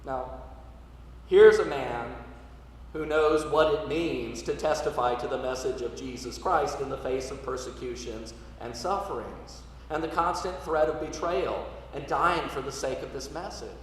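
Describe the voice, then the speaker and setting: A man preaching a sermon as a priest, in a measured, rhetorical delivery that swells with emphasis and drops to quiet. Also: medium pitch. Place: large church interior, live service. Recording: echoey church, distant mic, some noise.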